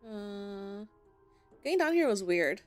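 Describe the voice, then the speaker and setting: Medium-pitched woman doing casual game commentary. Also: sing-song, slow. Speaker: gamer playing through a visual novel. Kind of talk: casual let's play commentary, reading choices aloud. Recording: faint game music behind the voice.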